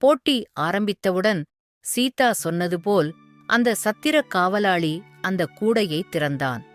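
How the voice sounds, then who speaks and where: Slow, measured woman storyteller. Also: mid-range voice, expressive, soft background music. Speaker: narrator. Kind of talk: storybook narration for children.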